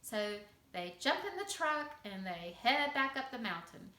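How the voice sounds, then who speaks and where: A woman telling a children's story in simple, expressive phrases for young listeners. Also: fairly high pitch, unhurried. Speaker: preschool teacher telling a bedtime story. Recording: echoey room.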